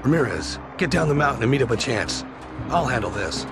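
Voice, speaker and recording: An actor playing a terse, authoritative special agent in clipped video game cutscene dialogue. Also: male voice, mid-range voice, very animated, a little fast, over music. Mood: terse, controlled. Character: terse, commanding male special agent leading stranded soldiers.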